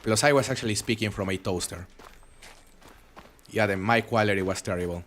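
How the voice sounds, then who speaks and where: Chatty male streamer, low voice, accented. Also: fairly quick, slight background noise. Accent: foreign accent. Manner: relaxed, chatty, rambling with frequent restarts. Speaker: game streamer. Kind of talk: live game stream commentary reading chat.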